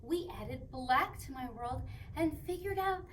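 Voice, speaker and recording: A woman playing an excited, friendly character who hosts a children's show and speaks directly to young viewers. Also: sits high, a little slow. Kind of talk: scripted children's show presenting. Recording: distant mic, faint background noise.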